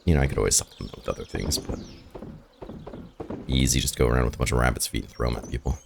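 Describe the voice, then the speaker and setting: A hoarse man with a raspy, rough voice, rambling and thinking aloud as he plays through a farming game in casual commentary. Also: rumbling low, fast talker, background noise. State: hoarse from shouting the night before. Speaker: gaming video host. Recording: game music behind the voice. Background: game music.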